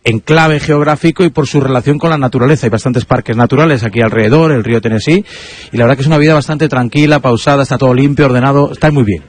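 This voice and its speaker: Man, radio host, rapid delivery, chatty tag questions, live on location. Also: medium pitch, no room sound. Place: on location, remote broadcast.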